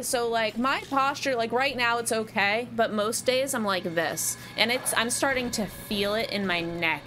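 A woman's voice: medium pitch, fairly bright tone, a little hiss or hum. A woman in her twenties chatting casually with her chat while playing a game at home. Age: 20s. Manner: chatty, self-deprecating, lots of fillers. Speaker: streamer. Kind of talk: live game stream chat.

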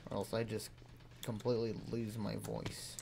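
A young man streaming a game from home, with a voice he says is lost, talking slowly to his chat. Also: lowish voice, little pitch movement, vocal fry, background noise. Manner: slow, mumbling, talks to chat between plays. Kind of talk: casual gaming livestream chat. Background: game music and keyboard clicks.

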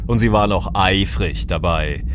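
An actor playing a stern, commanding veteran military general with a disdainful, formal manner in a science fiction audio drama. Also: male voice, low-pitched, moderate pace, a bit bright, a little hiss or hum. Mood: frank, resolute.

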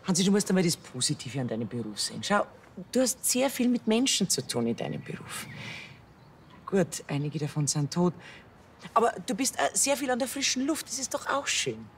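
A woman's voice: medium pitch, very animated, a little fast, a little hiss or hum, lightly acted. A woman playing a caring, cheerful bakery keeper with a Bavarian accent in a TV comedy, coaxing as she talks. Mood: encouraging. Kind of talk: TV comedy acting.

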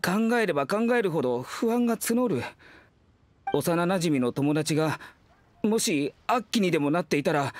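A man's voice: fairly high pitch, lively intonation, moderate pace, natural voice. A man voicing an earnest, worried young undertaker in an anime-style game, serious and brooding. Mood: troubled, brooding. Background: background music.

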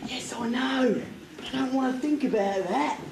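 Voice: sits high, measured pace, creaky. An actor playing a blustering, cowardly gangster henchman in a stage pantomime, recorded in a noisy, echoey hall. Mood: bossy, grumbling. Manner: blustering, bickering. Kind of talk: amateur stage pantomime comedy.

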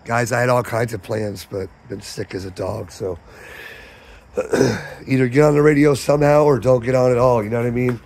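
A man with a hoarse, sick voice, casually chatting about his ham radio setup at night. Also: mid-range voice, steady tone, moderate pace, a little mellow. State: hoarse, losing his voice from illness. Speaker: ham radio video host. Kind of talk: casual vlog narration with radio contacts. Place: home radio shack at night.

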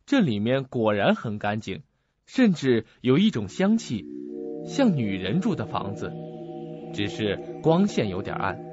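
A man's voice: medium pitch, big pitch swings, measured pace, muffled recording, over music. A man narrating a suspense mystery radio drama in a dramatic, scripted style. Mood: suspenseful.

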